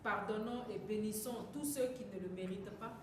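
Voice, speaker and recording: Woman, live sermon interpreter, hesitant self-corrections, mid pitch, far from mic, very echoey hall. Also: brisk, background noise.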